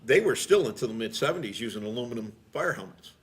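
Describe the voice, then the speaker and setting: A man's voice: medium pitch, expressive, fast. A middle-aged man, a firefighter, chatting casually as a guest in an emphatic, rambling way. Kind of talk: casual chat.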